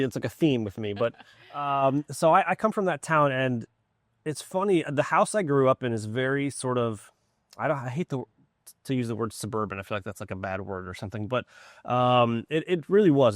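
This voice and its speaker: Man, mid-pitched, informal and enthusiastic interview guest, dry close sound. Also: no echo. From Poland. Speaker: orchestra music director, interview guest. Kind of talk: casual conversational interview.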